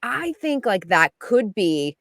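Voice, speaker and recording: A woman giving a chatty, lively entertainment news segment on a radio show, laughing often. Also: medium pitch, slow, no echo, studio quality. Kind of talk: casual radio chat.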